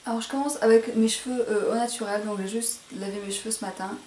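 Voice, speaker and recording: A woman's voice: on the high side, steady tone, quick pace, darkish tone. A woman presenting a casual, chatty hair tutorial to camera, speaking a little carefully and self-consciously. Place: indoor room at home. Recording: roomy echo, faint background noise.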